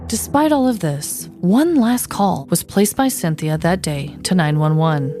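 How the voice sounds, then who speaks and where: A woman's voice: on the low side, animated, a little slow, warm tone. A woman co-hosting a podcast, telling a story and talking to listeners. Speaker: podcast co-host. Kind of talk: scripted storytelling narration, then casual chat.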